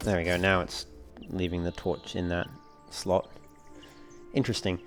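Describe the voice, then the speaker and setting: A man giving low-key, casual gameplay commentary, musing aloud as he plays. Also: level tone, normal speed, faint music behind. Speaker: let's play host.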